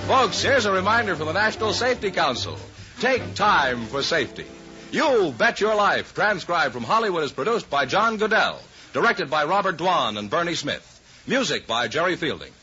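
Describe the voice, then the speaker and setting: A man's voice: sits high, very animated, normal speed, pushed and bright, faint music behind. An American man announcing in polished, emphatic 1950s radio style, reading scripted sponsor messages. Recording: dull, raspy vintage radio broadcast.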